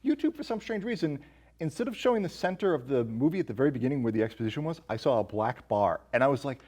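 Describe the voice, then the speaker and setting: A man's voice: medium pitch, animated, fast talker, a little mellow. A man hosting a podcast, chatting casually about movies with jokey, teasing banter. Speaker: podcast host.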